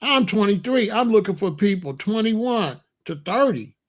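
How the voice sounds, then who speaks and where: Older man, high-pitched voice, blunt, repetitive, opinionated. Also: lively intonation, measured pace. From Chicago, USA. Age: 60s. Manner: emphatic, repetitive, blunt street talk. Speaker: commentator. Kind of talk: opinionated monologue.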